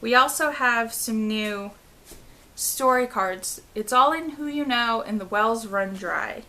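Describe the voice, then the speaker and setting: A woman's voice: a little high, slow, bright, forceful tone. A woman in her thirties casually talking through a board game expansion at home, reading card text aloud and trailing off mid-phrase. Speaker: vlogger. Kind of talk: casual unboxing walkthrough. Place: living room at home, indoors.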